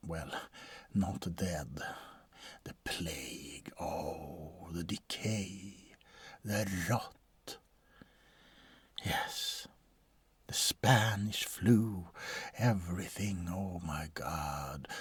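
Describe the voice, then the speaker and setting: A man playing a bored, world-weary, centuries-old vampire doctor in an ASMR roleplay, husky and breathy, often slipping into a whisper, with a deadpan, hesitant delivery. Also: low voice, no room sound, exaggerated voice. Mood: wistful.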